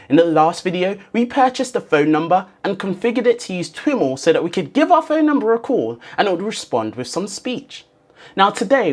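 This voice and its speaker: Animated tutorial presenter with lively intonation. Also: medium pitch. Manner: instructional, clearly signposted, addresses the viewer directly. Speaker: host of a programming tutorial. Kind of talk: scripted tutorial narration.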